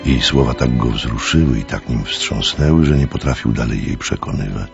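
A man's voice: extremely low pitch, normal speed, vocal fry. A man narrating a scripted story for a radio drama in a dramatic storytelling style. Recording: slightly dull audio with music underneath.

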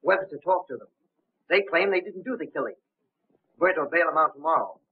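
An actor playing a gangster's henchman in a 1930s film, with a husky voice. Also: fairly high pitch, animated, a little fast, phone-quality sound. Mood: matter-of-fact. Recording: thin, band-limited 1930s film soundtrack.

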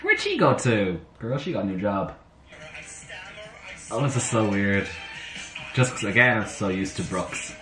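A young man with a low voice and a slightly hoarse edge talks fast and excitably, swinging his pitch all over as he chats to himself. He is recorded in a roomy bedroom, with music playing faintly underneath. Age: young adult. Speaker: vlogger reacting to music. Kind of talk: casual reaction chat. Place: bedroom at home, indoors. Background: soundtrack music playing faintly.

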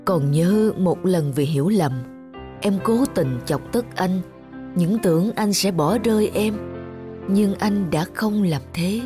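A woman's voice: mid-range voice, slow, music in the background, close to an everyday voice. A woman voicing an ageing widowed mother in a cartoon, tender, sad and devoted to her late husband. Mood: tender, nostalgic. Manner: tender, melancholy.

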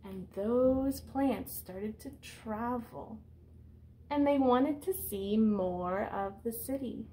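A woman's voice: fairly high pitch, slow, dark tone, slight background noise, low-quality recording. A woman in her thirties reading a picture book aloud as a teacher, explaining simply and putting questions to young children. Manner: instructive, simple phrasing aimed at young children. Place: small room indoors.

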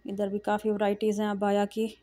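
A middle-aged woman speaks in a calm, low-key voice, keeping her pitch level and even as she narrates a shopping vlog. Her tone is mellow and dark rather than bright, and she pauses often with small hesitations. The sound is close and dry, recorded on a handheld phone. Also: no room sound. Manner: calm, soft-spoken, frequent hesitations and pious phrases. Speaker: vlogger. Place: large indoor shopping mall. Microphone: phone camera held while walking.

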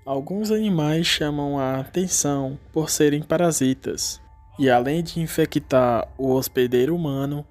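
A man's voice: fairly high pitch, measured pace, a little mellow, soft background music. A Brazilian man hosting an online video, narrating an informal video essay on horror series. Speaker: YouTube host.

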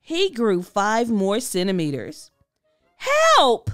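A woman reading a children's book aloud with expressive, deliberate storytelling. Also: medium pitch, no room sound, clean studio sound, soft background music. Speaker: storybook reader. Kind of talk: children's read-aloud narration.

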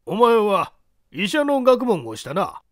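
A man's voice: sits high, wildly swooping pitch, measured pace, dry recording, clean studio sound, pitched above the performer's own voice, full character voice. A man voicing a shrewd, commanding judge who presses a suspect in an audiobook story. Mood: stern, accusing. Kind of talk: audiobook character dialogue.

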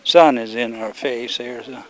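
Older male vlogger, hoarse and fading voice, relaxed rambling, handheld camera outdoors, faint background noise. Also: mid-range voice, measured pace, poor audio. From Sweden. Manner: relaxed, rambling, talks to viewers while walking. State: losing his voice. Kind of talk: casual walking vlog. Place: outdoors on a rural road among rice fields. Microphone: handheld camera on a selfie stick.

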